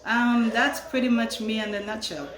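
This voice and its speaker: A woman's voice: on the high side, fairly even intonation, normal speed, echoey room, rough recording. A young adult woman from Haiti, raised in Florida, chatting casually and laughing at herself. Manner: talkative, self-deprecating, laughing. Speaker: co-host. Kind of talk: casual chat.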